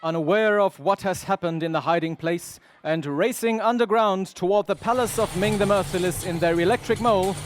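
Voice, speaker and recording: A man narrates an old-style radio serial in a declamatory, scripted manner. His voice is high for a man, over clearly noisy background ambience.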